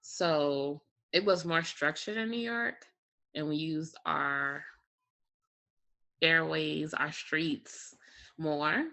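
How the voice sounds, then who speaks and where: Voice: medium pitch, extremely slow, creaky, long pauses, bright, forceful tone. An air traffic controller talking casually as an interview guest, explaining things step by step with examples. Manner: explains step by step with examples, frequent "you know" and "like".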